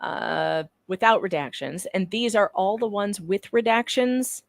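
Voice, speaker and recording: A woman reads legal dialogue aloud as a panelist, switching between conversational and theatrical delivery. The sound is dry, with very little room on the voice, and her pitch sits in the middle range for a woman. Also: measured pace, creaky, no echo. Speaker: panelist reading court transcript parts. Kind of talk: dramatic reading of courtroom dialogue with ad-libs.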